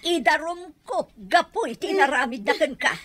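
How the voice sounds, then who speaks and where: A woman's voice: high-pitched, expressive, normal speed, fairly bright tone. An actress playing a scolding, exasperated mother in an Ilocano radio drama, with an Ilocano accent. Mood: indignant.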